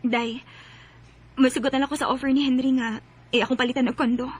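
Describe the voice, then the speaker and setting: An actress playing a shy, polite, heartbroken young working woman in a radio drama, speaking softly and hesitantly with a Cebuano Filipino accent. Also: high voice, level tone, quick pace, husky. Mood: hurt.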